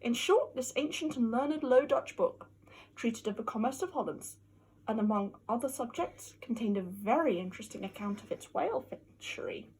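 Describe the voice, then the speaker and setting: A woman giving a theatrical, mock-scholarly dramatic reading of a classic novel, with emphatic delivery and a husky voice. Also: a little high, animated, moderate pace, darkish tone, poor audio. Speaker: amateur reader.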